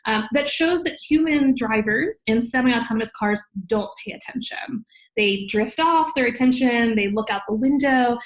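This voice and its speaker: A woman's voice: on the high side, low-quality recording. A woman speaking as an interview guest, explaining in an articulate, emphatic way like a scholar. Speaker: podcast guest, legal scholar.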